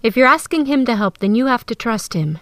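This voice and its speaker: A man voicing a young noble girl who speaks flatly and plainly, in an audiobook. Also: very high-pitched, brisk, dry recording, studio quality, pitched above the performer's own voice. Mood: blunt. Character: blunt, nervous teenage noble girl. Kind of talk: audiobook character voice.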